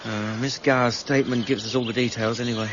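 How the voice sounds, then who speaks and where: An actor playing a deferential, pragmatic police sergeant with an English accent, understated and inquiring, in a radio drama. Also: male voice, mid-range voice, fairly even intonation, quick pace, natural voice. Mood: curious. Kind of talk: 1990s British radio drama acting. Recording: muffled, noisy old radio broadcast recording.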